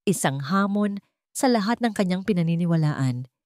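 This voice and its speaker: A woman narrating a scripted romance drama with deliberate, dramatic phrasing. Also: on the low side, lively intonation, a little mellow, dry recording, clean studio sound. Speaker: story narrator.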